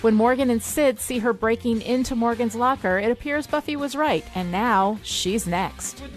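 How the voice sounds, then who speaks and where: A woman with a fairly high voice hosts a podcast. She is wry in both scripted recap and casual chat, with some music around her voice. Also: normal speed. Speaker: podcast host.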